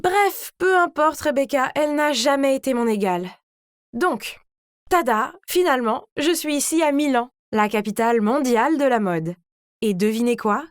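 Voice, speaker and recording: A woman voicing a vain, scheming teenage girl who tells her own animated story in a smug, theatrical way. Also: high-pitched, moderate pace, dry recording, studio quality. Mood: boastful, excited. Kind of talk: dramatized first-person story narration.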